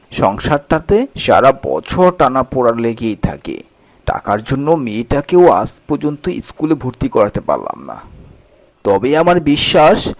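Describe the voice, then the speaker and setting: A man's voice: medium pitch, dry recording. A man voicing a weary, poor, honest village day-labourer in a cartoon fairy tale, plaintive and earnest.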